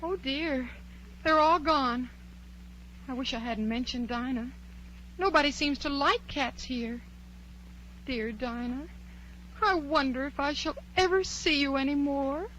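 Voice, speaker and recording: A woman's voice: sits high, measured pace, pauses often, a bit bright, slight background noise, poor audio. A woman playing a curious, polite but outspoken young girl in a radio play, prim and chatty. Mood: wistful, fretful. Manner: prim, chatty, thinking aloud. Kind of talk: radio play acting of a children's story.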